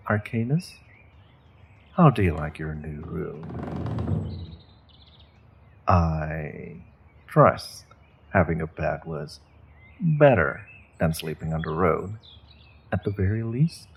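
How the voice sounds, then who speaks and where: A sinister sorcerer captor played by a man speaks very slowly, in a deep voice full of gravel. He is courteous and measured, letting polite phrases carry the threat, as in a fantasy audio roleplay. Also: sing-song, a little hiss or hum, exaggerated voice. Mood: polite menace. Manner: measured, polite, veiled threats, deliberate pauses.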